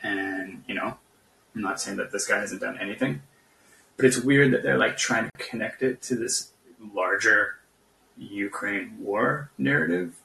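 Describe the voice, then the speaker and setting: A man casually debating politics as a caller, skeptical and halting, feeling his way through each point. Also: lowish voice, vocal fry, sharp tone, slight background noise. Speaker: podcast guest caller. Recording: echoey room, distant from mic.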